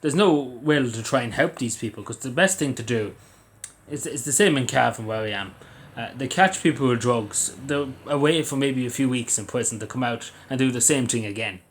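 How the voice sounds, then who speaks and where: A man's voice: mid-range voice, normal speed, a little hiss or hum. An Irish man giving his opinions in a casual vlog, rambling with frequent restarts and fillers. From Ireland. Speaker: vlogger. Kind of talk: opinion commentary to camera.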